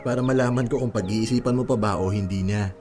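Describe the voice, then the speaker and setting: A man playing an earnest, devoted young boyfriend in a Tagalog radio drama, gentle and sincere, with a Filipino accent. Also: medium pitch, brisk, faint music behind, close to an everyday voice. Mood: light.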